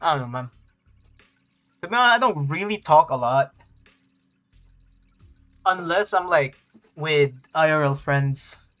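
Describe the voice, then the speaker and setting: A man's voice: fairly high pitch, animated, measured pace, long pauses, fairly bright tone. Casual male streamer with music behind. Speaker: game streamer. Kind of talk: casual livestream chat.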